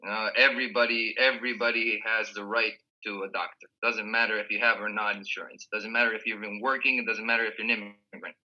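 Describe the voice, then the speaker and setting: A man whose first language is Spanish, a guest chatting casually over a call from home, relaxed and restarting his words. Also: fairly low pitch, low-quality recording. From Rio de la Plata region. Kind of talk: casual remote interview chat. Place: home in Spain.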